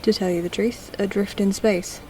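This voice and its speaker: A woman playing a courteous, curious old-model android in a sci-fi audio drama, speaking in a measured, polite way. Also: medium pitch, fairly even intonation, a little mellow, slight background noise, natural voice, rough recording. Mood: polite, guarded.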